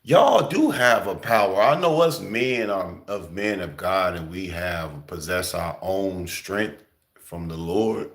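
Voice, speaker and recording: A young man talking in a casual, slangy preaching style, emphatic and often jumping high for emphasis. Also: a bit bright. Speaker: host.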